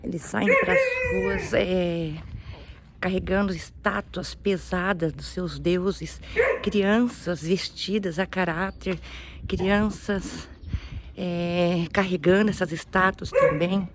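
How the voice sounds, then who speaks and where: A missionary woman speaking earnestly in a halting, casual way, with many restarts, while she walks outdoors. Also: lowish voice, lively intonation, very noisy. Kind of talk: casual religious vlog talk. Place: outdoors, walking past houses and ruins.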